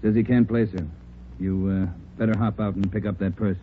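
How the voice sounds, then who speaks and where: An actor playing a terse, businesslike American police chief briefing detectives in clipped sentences in a 1940s radio crime drama. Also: male voice, lowish voice, steady tone, moderate pace, soft background music, close to an everyday voice. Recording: muffled, noisy vintage 1940s radio recording.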